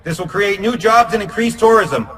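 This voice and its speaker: A town mayor making formal public announcements. His voice is fairly high and heard from some way off. Loud outdoor ambience runs behind him. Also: moderate pace, recorded from a distance, a little hiss or hum, rough recording. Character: placating male town mayor.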